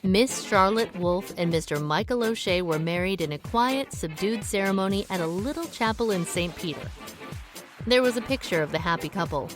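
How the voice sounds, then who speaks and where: A woman's voice: mid-range voice, lively intonation, moderate pace, music in the background, natural voice. An actress playing a shrewd, world-weary 1920s woman sleuth in an audio drama, knowing and matter-of-fact. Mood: reflective, wry. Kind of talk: audio drama dialogue and first-person narration.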